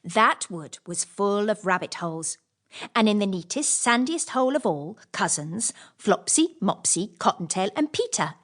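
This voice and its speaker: A woman reads classic bedtime tales for children as an audiobook narrator. Her voice sits at a middle pitch and moves up and down a great deal, with expressive storytelling phrasing. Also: normal speed.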